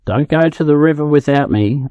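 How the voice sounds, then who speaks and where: A man's voice: a little high, moderate pace, mellow, no room sound, highs cut off, voice pushed up, close to an everyday voice. An Australian man voicing a sociable, mildly strict mother duck in a storybook reading. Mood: calling out. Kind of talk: storybook character voice.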